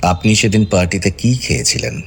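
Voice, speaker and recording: A man's voice: low-pitched, animated, fairly quick, gravelly, a bit bright, breathy edge, heavily acted. A sly interrogating detective in a suspense audio drama. He is pointed and theatrically insinuating, with music running beneath his voice. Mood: probing. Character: sly, probing male detective questioning suspects. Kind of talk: Bengali audio drama acting.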